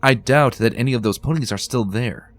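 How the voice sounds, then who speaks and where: A man's voice: mid-range voice, a little fast, soft background music, voice pushed up, close to an everyday voice. A man voicing a bookish, determined young female unicorn pony in a fan reading, earnest and anxious. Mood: insistent.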